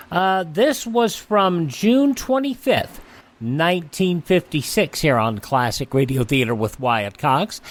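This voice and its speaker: A man hosting a classic radio show in a folksy, chatty way. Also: a little high. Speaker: radio host.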